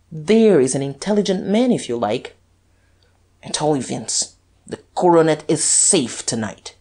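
A suave aristocratic gentleman from a storybook, put on by a woman narrator. His voice is husky and pitched up from the narration. He is coolly superior, scornful and teasing. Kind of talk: dramatized audiobook reading.

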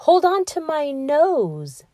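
A woman voicing a sly male fox from a children's storybook, speaking in a slow, coaxing way. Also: high voice, big pitch swings, warm tone, dry recording, studio quality, pitched above the performer's own voice, exaggerated voice. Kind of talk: children's storybook read-aloud.

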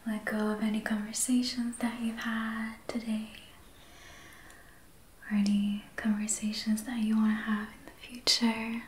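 A woman speaking in a breathy whisper, gently and soothingly guiding a healing session in ASMR style. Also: on the high side, little pitch movement, a little hiss or hum. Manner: slow, gentle, soothing guidance. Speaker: ASMR host, Reiki practitioner.

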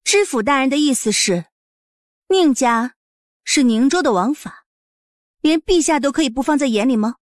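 A woman playing a cold, sharp-tongued young wife of an official in an audiobook drama, stern and assertive. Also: high voice, expressive, normal speed, pauses often, dry recording, studio quality. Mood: cold, defiant. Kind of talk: audiobook drama acting in Mandarin.

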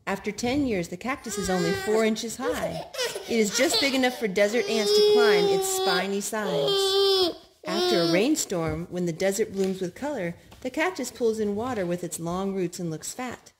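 A woman in her thirties reading picture books aloud to young children at home, in an animated, child-directed way with drawn-out words. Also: mid-range voice. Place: living room at home, indoors. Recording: home recording with background noise.

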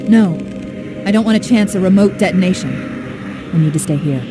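A woman playing a brisk, earnest artificial intelligence companion in a video game. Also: medium pitch, close to an everyday voice. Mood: earnest urgency. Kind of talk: video game cutscene acting. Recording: music behind the voice.

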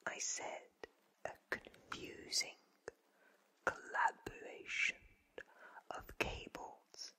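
A man in his thirties playing an eccentric, wry time-travelling inventor in a whispered, deliberate ASMR roleplay, close to the mic. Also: sharp tone. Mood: wry, focused. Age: 30s. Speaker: ASMR performer. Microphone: close mic in front of him.

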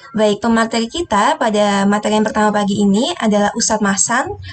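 A woman's voice: on the high side, a little hiss or hum. A young woman moderating a session, polite and formal as she introduces the speaker over a video call. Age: young adult. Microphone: video call webcam.

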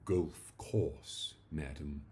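Man acting a discreet, deferential butler for a comic storybook, very low and measured. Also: a little mellow, full character voice. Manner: formal, measured.

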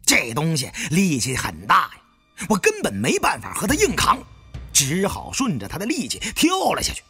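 A man's voice: fairly high pitch, wildly swooping pitch, normal speed, sharp tone, breathy edge. Male audiobook narrator, dramatic adventure thriller, suspenseful, extremely varied intonation, light music bed. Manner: theatrical, suspenseful.

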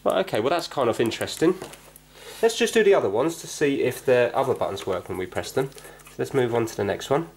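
A man's voice: mid-range voice, expressive, fairly quick, a little hiss or hum. A man hosting a hobby repair video, chatting casually and explaining as he goes, often questioning himself. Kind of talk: casual hands-on repair chat, thinking aloud. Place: indoors at a workbench.

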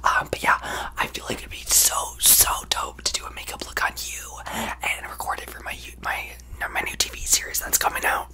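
A young man voicing a brash, self-absorbed young female pop star in a breathy, gushing ASMR whisper close to the microphone. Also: moderate pace, bright, forceful tone. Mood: excited. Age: young adult. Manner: gushing, exaggerated, boastful, rambling. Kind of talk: ASMR roleplay, celebrity parody.

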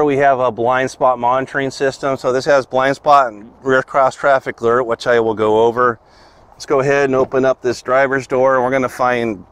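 A man's voice: mid-range voice, fairly bright tone, smooth, dry recording. A man, a car salesman, casually walking through a car's features on a dealer lot and explaining them step by step with plenty of fillers.

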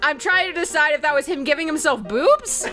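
A woman with a high, bright voice recaps things in casual, slangy style. She rambles and giggles, slipping into bits of dialogue as she goes. Music plays behind her. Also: animated, brisk. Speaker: let's play host retelling a game. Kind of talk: animated casual storytelling recap.

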